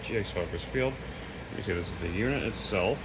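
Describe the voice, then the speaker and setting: Low-voiced man, noisy casual demo. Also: fairly quick. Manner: hesitant, loose, admits gaps in knowledge. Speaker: presenter demonstrating audio equipment. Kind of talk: casual unscripted product demo. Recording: clearly noisy home recording.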